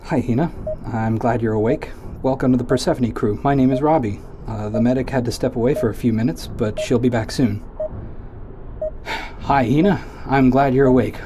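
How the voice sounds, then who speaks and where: A man's voice: medium pitch, moderate pace, noisy background, close to an everyday voice. Nervous awkward young crewman, played by an actor. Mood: flustered. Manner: nervous, stammering, literal-minded. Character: Robbie, awkward young man on a spaceship crew.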